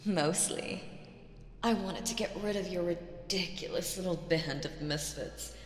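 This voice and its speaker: Woman doing a demon queen for audio roleplay, lowish voice, taunting n possessive, breathy asides, big echoey hall with music. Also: lightly acted. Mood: possessive, wistful. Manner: drawn-out, teasing, condescending, breathy whispered asides. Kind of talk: slow roleplay audio drama monologue with whispers.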